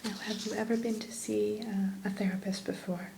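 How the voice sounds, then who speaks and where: A woman playing a kind, reassuring therapist in a whispered ASMR roleplay, speaking gently and attentively without hurry. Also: mid-range voice, flat delivery, gravelly, noisy background. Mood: gentle, welcoming. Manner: soothing, gentle, attentive, unhurried. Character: kind, reassuring female therapist welcoming a new patient.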